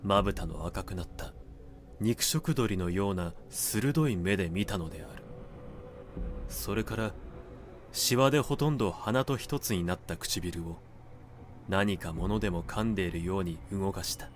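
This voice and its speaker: A man narrating classic literary fiction from a script, in measured, deliberate dramatic phrasing. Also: deep voice, a little hiss or hum.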